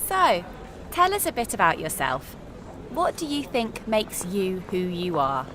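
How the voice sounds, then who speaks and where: A woman co-hosting a scripted show, presenting in a bright, friendly manner. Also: medium pitch, sing-song, a little slow, a little hiss or hum. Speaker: co-host. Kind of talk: scripted course-book radio show.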